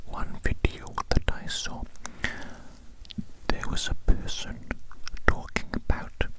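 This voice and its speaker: A performer voicing a polite, eerily eager alien posing as a friendly doctor, in a breathy, repetitive, coaxing whisper for an up-close ASMR roleplay. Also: lightly acted, poor audio. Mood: musing, coaxing. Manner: slow, repetitive, formal and coaxing. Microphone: very close microphone.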